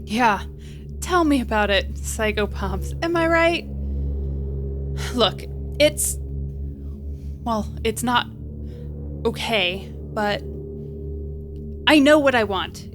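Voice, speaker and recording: Flippant young repairwoman, audio drama. High voice, slow delivery, self-deprecating bravado with an earnest core. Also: expressive, music in the background. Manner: rambling, joking bravado over earnest pleading.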